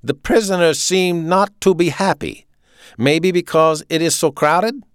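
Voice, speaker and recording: A man's voice: fairly high pitch, unhurried, smooth, no room sound, clean studio sound, pitched above the performer's own voice, natural voice. A man voicing a puny, authoritarian German prison camp commandant in an audiobook, with a staccato, demanding German accent. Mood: coolly probing.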